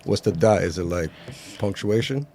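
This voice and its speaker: A man with a very deep, mellow voice hosts a podcast. He keeps his questions short and his reactions low-key. A faint noise from the room sits behind him. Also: lively intonation, moderate pace. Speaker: podcast host. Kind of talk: casual podcast interview.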